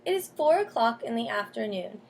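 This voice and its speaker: A woman with a fairly high voice reads a children's storybook aloud like a teacher. She steps out of the text to explain things and put questions to young listeners. There is faint noise behind her. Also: very animated, brisk, gravelly, darkish tone. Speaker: teacher reading a picture book aloud.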